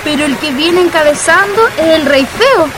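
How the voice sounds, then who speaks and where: A woman's voice: very high voice, expressive, moderate pace, background noise. A woman playing a reassuring, cheeky young Chilean girl in a radio drama. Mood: amused, teasing. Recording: music under the voice.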